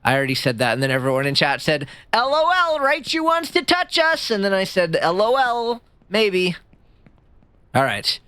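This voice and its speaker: A man streaming live with a squeaky voice, chatting with his chat in a rambling, jokey way that jumps up high when he gets excited. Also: moderate pace, clear, resonant tone, no echo, studio quality. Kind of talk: casual livestream chat reading chat. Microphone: streaming mic.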